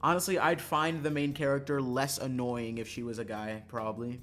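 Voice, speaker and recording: A man livestreaming a visual novel, moving between casual, profane, joking chat and reading the game text aloud, quick to laugh. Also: medium pitch, level tone, a bit bright, soft background music. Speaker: streamer playing a visual novel.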